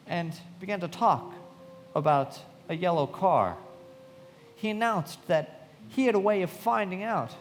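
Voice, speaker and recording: A thoughtful young narrator telling a tragic memoir, his voice a little high. The live radio delivery is measured and loyal in feeling, carried with the reverb of a hall. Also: slight background noise. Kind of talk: dramatic scripted narration in live radio play.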